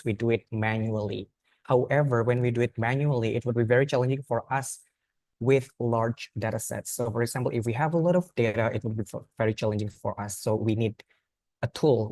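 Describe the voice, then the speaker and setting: Young man, Indonesian accent, earnest student talk, mid pitch, dry close sound. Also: darkish tone, no room sound. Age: young adult. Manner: earnest, plain phrasing with frequent restarts and repeated words. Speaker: student speaker at a youth forum. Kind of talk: informal presentation.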